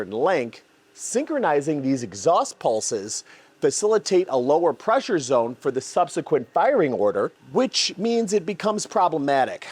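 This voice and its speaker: A man's voice: mid-range voice, husky. A man hosting a technical explainer as a custom exhaust builder, speaking in articulate, emphatic phrases like a prepared narration. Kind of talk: semi-scripted explainer narration on car engineering.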